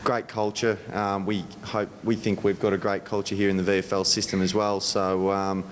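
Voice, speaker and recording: A man's voice: flat delivery, normal speed. An Australian man, a football coach, giving conversational interview answers. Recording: reverberant room with faint rumbling noise.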